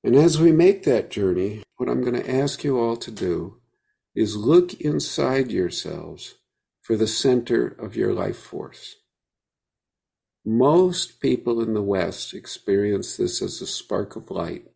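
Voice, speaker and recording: A man leading a guided meditation, speaking gently with unhurried pauses between phrases. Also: fairly low pitch, lively intonation, vocal fry.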